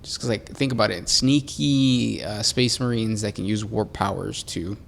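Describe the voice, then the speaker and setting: Gravelly easygoing man joking around. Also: on the low side, normal speed, a little hiss or hum. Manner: easygoing, joking, reads out the questions. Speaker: podcast co-host. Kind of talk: casual chat answering fan questions.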